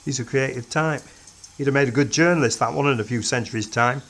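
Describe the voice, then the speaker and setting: A reader doing a gruff, sardonic time-traveller. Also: male voice, mid-range voice, quick pace, a bit bright, voice pushed down. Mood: dry. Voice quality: gruff, casual, dry. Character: blunt, sardonic male time-traveller, middle-aged.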